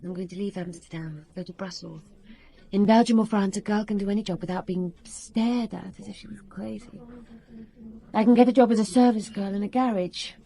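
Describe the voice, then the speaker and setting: A woman's voice: medium pitch, brisk, gravelly, mellow, lightly acted, low-quality recording. An actress playing a proud, defiant young woman in a radio drama, speaking bluntly. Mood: bitter, determined.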